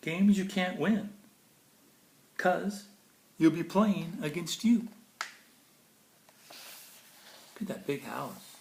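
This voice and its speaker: A man's voice: a little high, animated, drawn-out words, gravelly, pauses often, a little mellow. A man reading a children's picture book aloud in a theatrical, playful way, with casual asides about the pictures. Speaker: storybook reader. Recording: roomy echo, faint background noise.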